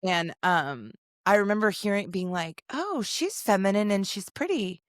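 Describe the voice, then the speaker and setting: A woman in her forties with a deep voice, co-hosting a podcast in casual, blunt chat, swearing and laughing often. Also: very animated, moderate pace, dry recording, studio quality. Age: 40s. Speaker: podcast co-host.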